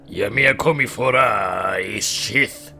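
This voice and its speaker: A sinister old Sith lord speaks in a low, gravelly croak with a hard, cutting edge. He is a manipulative, commanding master in a dramatic film dub, deliberate and menacing over music. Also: sharp tone. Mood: commanding, scheming.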